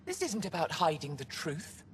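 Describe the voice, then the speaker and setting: An actress playing a stern elder alien scientist in a video game, speaking formally and bluntly with authority. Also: female voice, low-pitched, huge pitch swings, moderate pace, a little hiss or hum. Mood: stern, indignant. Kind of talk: video game cutscene dialogue.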